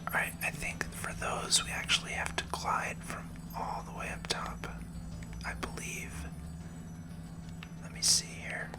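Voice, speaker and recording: A person whispering soft, unhurried ASMR commentary while playing a video game, thinking aloud along the way. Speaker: gaming video host. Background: video game music.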